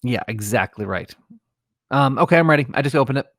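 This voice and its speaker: A man's voice: mid-range voice, expressive, a little fast, fairly bright tone, dry recording, clean studio sound. A middle-aged man co-hosting a comedy podcast, moving between mock-solemn reading and casual chat. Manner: breaks into laughter while trying to read gravely.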